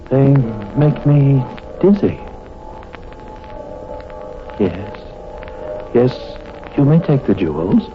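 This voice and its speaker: Stiff, careful male custodian, theatrical, slow dramatic delivery, radio play, muffled recording, background music. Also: mid-range voice. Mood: dazed, mesmerized. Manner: deliberate, precise, theatrical. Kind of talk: old-time radio thriller acting.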